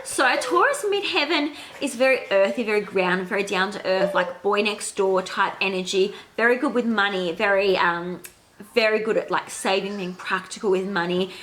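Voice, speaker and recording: A woman's voice: mid-range voice, normal speed, a bit bright. A woman in her thirties with a cold that makes her sound nasal, casually explaining an astrology reading with many fillers. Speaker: astrology vlogger. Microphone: handheld camera.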